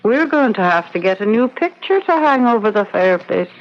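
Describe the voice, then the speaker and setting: A woman's voice: medium pitch, expressive, fairly quick, close to an everyday voice. An actress playing a knowing, wry woman in an old radio drama. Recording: noisy, muffled old radio recording.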